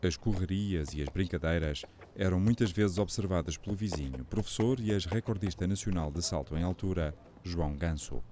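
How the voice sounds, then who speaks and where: A man narrating a documentary in a measured, formal tone. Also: sits low, dark tone, a little hiss or hum. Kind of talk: scripted narration. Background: music.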